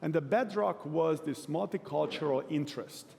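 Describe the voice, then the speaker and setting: A man from Hungary in his forties, giving a relaxed, self-deprecating conference talk to a live audience. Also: fairly high pitch, moderate pace, vocal fry. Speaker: keynote speaker. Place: large event hall. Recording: long hall reverb.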